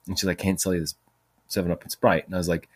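A man's voice: sits low, flat delivery, quick pace, slightly rough. A man in his forties telling a rambling, casual story, chatty and self-mocking, with some swearing. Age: 40s. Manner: chatty, profane, self-mocking, drifts off topic. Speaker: podcast host.

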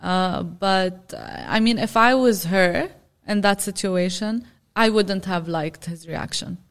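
Podcast guest, woman, measured and reflective, medium pitch. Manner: thoughtful, hesitant, frequent fillers. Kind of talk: casual interview chat.

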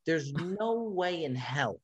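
A man's voice: fairly high pitch, expressive, slow. A man hosting a podcast, talking in an emphatic, earnest and teasing way in casual chat. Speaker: podcast host.

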